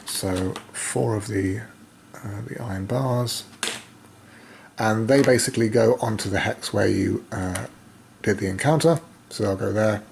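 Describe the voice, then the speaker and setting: A man with a slightly croaky voice gives casual play-by-play of a solo board game, thinking aloud with hesitant pauses. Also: on the low side, darkish tone. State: croaky voice, coughing now and then. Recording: noticeable background noise.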